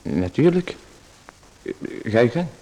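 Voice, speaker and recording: A man's voice: mid-range voice, big pitch swings, slow, background noise. A man playing a meek, polite host in a radio play, who hesitates and gives in to his visitor. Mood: hesitant.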